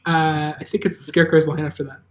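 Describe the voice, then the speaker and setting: A woman's voice: sits low, little pitch movement, quick pace. A woman hosting a table read with casual live-stream chat.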